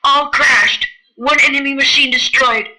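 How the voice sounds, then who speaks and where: A woman's voice: high voice, steady tone, a little slow, sharp tone, full character voice, rough recording. A woman playing a military radio operator in a radio drama, relaying clipped official reports. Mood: brisk, official.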